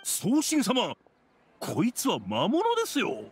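A man voicing a stern, dutiful forest guard who is gruff with creatures and respectful to his goddess, in a video game. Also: high voice, huge pitch swings, slow pace, exaggerated voice. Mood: deferential. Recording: game audio with noise.

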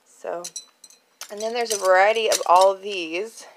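A woman's voice: mid-range voice, fairly even intonation, a little slow, slight background noise. A woman hosting a casual, chatty show-and-tell in an informal, tentative way, thinking aloud as she goes. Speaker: craft channel host previewing auction items. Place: indoors at home, at a card table. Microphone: handheld phone.

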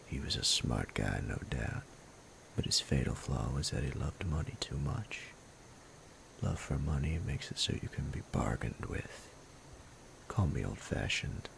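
A man voicing a sadistic male bandit captor in an ASMR roleplay, low and gravelly, often whispering in a menacing, playful way. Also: a bit bright, slight background noise, full character voice. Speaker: voice actor.

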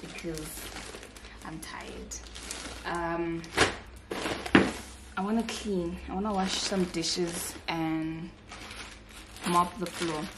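A woman's voice: on the low side, steady tone, extremely slow, pauses often. A woman in her twenties chatting casually to camera as a home vlogger, musing aloud in an informal way. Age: 20s. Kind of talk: casual vlog chat to camera. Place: living room of an apartment, indoors. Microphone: camera at arm's length. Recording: clearly noisy home recording.

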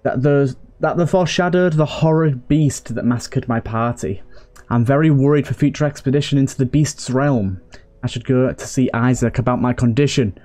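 A man reading fantasy diary entries as a troubled adventurer, in a slow, solemn, dramatic manner. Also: mid-range voice, mellow, close to an everyday voice. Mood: worried. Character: troubled adventurer reading out a grim journal entry.

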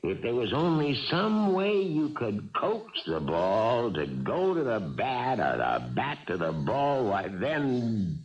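An actor playing a crafty, middle-aged American baseball manager in a radio drama, folksy and coaxing. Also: male voice, lowish voice, heavily acted. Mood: pensive. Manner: folksy, persuasive. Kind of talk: 1970s radio drama acting. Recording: dull, muffled old radio recording.

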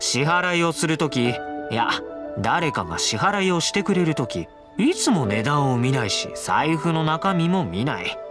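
Confident young charmer for anime game: lightly high voice, lively intonation, playful and casual. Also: male voice, unhurried, over music. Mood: breezy, teasing. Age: young adult. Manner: casual, smooth-talking, playful. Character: breezy, confident, teasing young nobleman-fighter. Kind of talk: anime game character acting.

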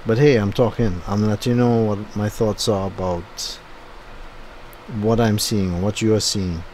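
A man with a Trinidadian accent giving a low-key, unenthusiastic running commentary while driving. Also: steady tone, a little slow, darkish tone, a little hiss or hum, low-quality recording. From Trinidad and Tobago. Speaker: driving vlogger. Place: inside a moving car.

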